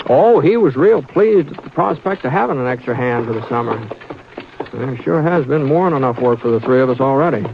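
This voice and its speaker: An actor playing a folksy, easygoing ranch hand who also narrates, in an old radio drama. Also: male voice, medium pitch, expressive, brisk, creaky, slight background noise. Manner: folksy, drawling, gentle. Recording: muffled old radio recording with music.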